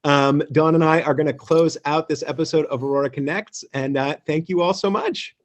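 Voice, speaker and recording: A man hosting a live theater company show on a video call, speaking appreciatively in a halting way and making announcements. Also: a little high, fairly even intonation.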